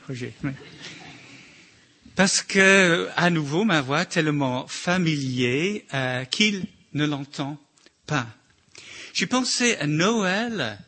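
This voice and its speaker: Slow, halting man with a slight accent. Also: on the high side, bright, forceful tone. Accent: slight foreign accent in French. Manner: slow, halting, many restarts. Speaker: church member sharing a reflection. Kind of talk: informal sermon reflection.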